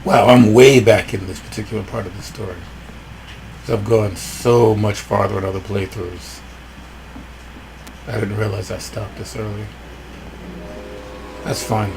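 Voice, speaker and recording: Rough-voiced man rambling over gameplay. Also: fairly low pitch, steady tone, measured pace, long pauses, a little mellow, slight background noise, rough recording. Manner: rambling, thinking aloud with fillers. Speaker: let's play host. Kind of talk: casual gameplay commentary.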